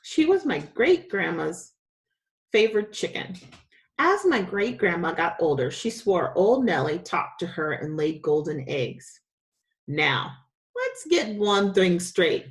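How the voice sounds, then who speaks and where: A woman reading a children's story aloud as a teacher, with an expressive, dramatic storytelling delivery. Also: fairly low pitch, takes it slowly.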